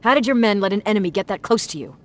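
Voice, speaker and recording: A woman's voice: medium pitch, lively intonation, rapid-fire, natural voice. A woman playing a tough, battle-hardened resistance commander in a video game, giving terse, demanding orders. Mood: stern, controlled. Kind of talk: dramatic video game acting.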